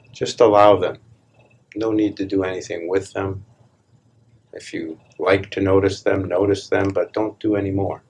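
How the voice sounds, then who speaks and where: A man leading a live guided meditation, speaking calmly and deliberately in short phrases with long pauses between them. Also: deep voice, creaky, slightly rough, rough recording. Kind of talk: live guided meditation, slow hushed instruction.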